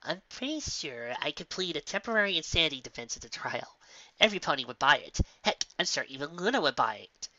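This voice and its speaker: A woman plays a sardonic female pony magician in a story reading. She has a lowish, bright voice with dry sarcasm and proud, boastful flashes. The sound is a little lo-fi. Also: very animated, brisk, heavily acted. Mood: dry, resigned.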